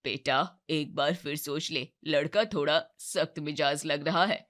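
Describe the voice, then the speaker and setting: A woman's voice: deep voice, measured pace, fairly bright tone, pitched below the performer's own voice. A woman plays a middle-aged father in a cartoon moral tale, dropping her voice well down. He is kindly, concerned and questioning. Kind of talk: moral-story cartoon voice acting.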